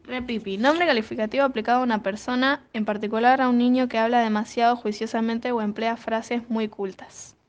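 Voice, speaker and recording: A woman's voice: fairly high pitch, steady tone, brisk, hardly any pauses, highs cut off. A teenage girl or young woman with an Argentine accent, chatting playfully as a student radio interviewer. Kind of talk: playful vox pop chat.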